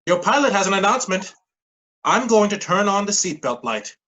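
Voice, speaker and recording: A man's voice: high-pitched, very animated, a little fast, pushed and bright, full character voice. An actor in a staged play reading, playing an officious, upbeat male leader who addresses his group like a pilot making formal, firm announcements. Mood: chipper, commanding.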